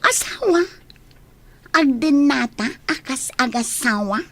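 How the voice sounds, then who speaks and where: A woman's voice: sits high, animated, slow pace, fairly bright tone, heavily acted. A woman acting in a radio drama as a hesitant young woman who defers to her boss. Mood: surprised, wary.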